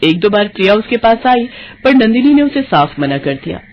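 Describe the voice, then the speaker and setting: A woman's voice: medium pitch, sing-song, moderate pace, dull audio, slight background noise. A woman reading a scripted moral story for children as narrator.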